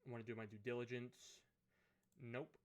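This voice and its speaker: A man with a hoarse, fading voice, thinking aloud as he commentates a hockey management game. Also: mid-range voice, level tone. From Canada. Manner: musing aloud, unhurried, thinking through choices. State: hoarse, losing his voice. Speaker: gaming commentator. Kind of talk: let's play commentary of a management sim.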